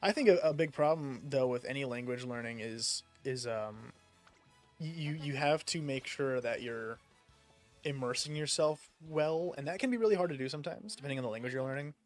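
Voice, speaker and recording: A man's voice: mid-range voice, fairly quick, soft background music. A young man from the United States chatting casually while gaming, rambling with lots of fillers and casual swearing. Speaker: streamer.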